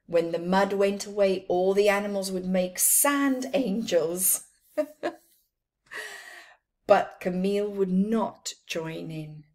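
Middle aged lady reading a kids story, really slow, dramatic emphasis on words. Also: medium pitch, a little mellow. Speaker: storyteller reading picture books for children. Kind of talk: expressive children's storybook read-aloud. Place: small plain room indoors.

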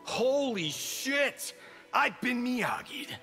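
An actor playing a flippant, boastful American Hollywood action star trading slangy banter in a fighting video game. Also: male voice, very high voice, big pitch swings, drawn-out words, vocal fry, sharp tone, full character voice. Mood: cocky, joking. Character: cocky wisecracking male Hollywood action movie star. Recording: game music behind.

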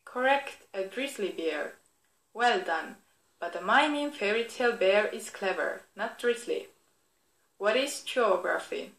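A woman's voice: mid-range voice, lively intonation, unhurried, a bit bright, echoey room, low-quality recording. A woman voicing a friendly, clever fairy tale teddy bear toy, presenting itself to children in an encouraging, instructive way. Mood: encouraging, playful. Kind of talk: scripted product demonstration for children.

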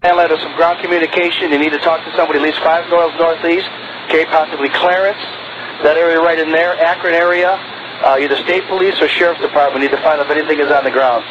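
A man rattles off urgent radio calls at great speed, his voice fairly high. The transmission is dull and muffled, with steady hiss. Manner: hurried, urgent. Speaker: tower controller.

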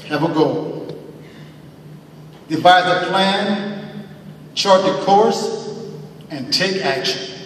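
A man's voice: high voice, a little hiss or hum. A middle-aged man giving an earnest acceptance speech at a podium in a large banquet hall, heard from the audience. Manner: earnest, slow emphatic phrasing with thanks and faith. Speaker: hall of fame inductee. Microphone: podium mic, recorded from far in the audience. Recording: echoey hall audio from far off.

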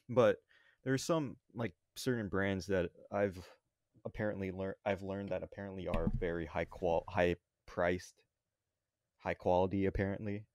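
A man co-hosting a podcast in casual chat, haltingly searching for his words. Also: low-pitched, fairly even intonation, darkish tone, no echo. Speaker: podcast co-host.

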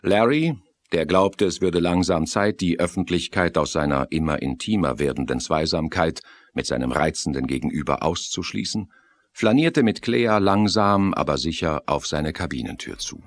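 A man's voice: sits low, normal speed, dry recording. A man narrating a scripted German radio play as a storyteller.

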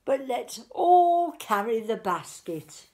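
A choosy but friendly goose from a picture book, performed by a woman. The voice is raised high and lilts in a sing-song way. It speaks emphatically and without hurry. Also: sing-song, takes it slowly, mellow, exaggerated voice. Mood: agreeable.

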